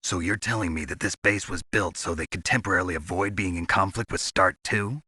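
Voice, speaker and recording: A man voicing a gruff, world-weary soldier in a video game, with a husky, gravelly voice and a terse, dry manner. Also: low voice, a little fast, pushed and bright, no echo, full character voice. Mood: skeptical, wry.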